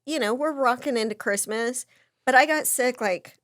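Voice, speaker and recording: A woman in her thirties talks through a head cold, her voice a little hoarse and stuffy. She jokes at her own expense in easygoing chat. Her voice sits a bit high and sounds dry and close. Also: moderate pace, a bit bright, dry recording. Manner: wry, self-mocking, laughs often. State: congested from a cold, slightly hoarse. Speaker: podcast co-host. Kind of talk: casual chat.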